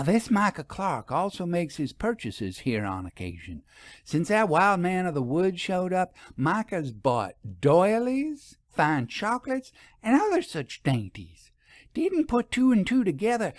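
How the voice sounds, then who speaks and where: A rustic older shopkeeper woman as a man narrating a story plays her, high in pitch and lively in contour. She is gossipy and suspicious, leaning on words in folksy, ungrammatical speech. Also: unhurried, dry recording, clean studio sound. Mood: conspiratorial, suspicious.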